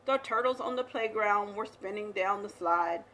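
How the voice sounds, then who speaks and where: A woman reading a children's picture book aloud as a storyteller, with expressive, dramatic delivery. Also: medium pitch, moderate pace, slight background noise.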